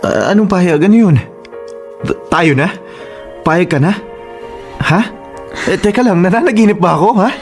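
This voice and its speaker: An actor playing an eager, devoted, cocky young boyfriend with a Filipino accent in a radio drama. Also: male voice, high voice, normal speed, long pauses, faint music behind. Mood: excited disbelief. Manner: excitable, questioning, stammering.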